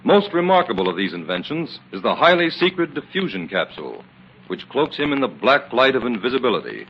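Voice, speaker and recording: A man's voice: medium pitch, very animated, a little fast, highs cut off, a little hiss or hum. A man narrating a 1940s radio drama in a dramatic, declamatory manner, on an old radio recording. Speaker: announcer narrator.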